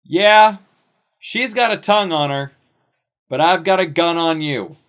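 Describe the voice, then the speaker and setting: A man's voice: on the high side, measured pace, fairly bright tone, clear, resonant tone, pitched above the performer's own voice. A man voicing a cocky, threatening gunslinging sidekick in a story, brash and taunting. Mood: taunting menace. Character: defiant, foul-mouthed gunslinging male sidekick, cocky and threatening.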